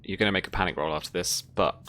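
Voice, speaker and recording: A woman's voice: very deep voice, fast, a bit bright, slight background noise. A woman acting as game master, casually running a tabletop roleplaying game.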